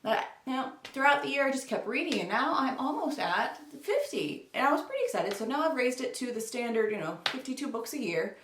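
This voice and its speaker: A woman's voice: high-pitched, very animated, a little fast, few pauses, fairly bright tone, slight background noise. A woman chatting informally and self-deprecatingly to camera as a book vlogger. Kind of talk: casual chat to camera. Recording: roomy echo, distant microphone.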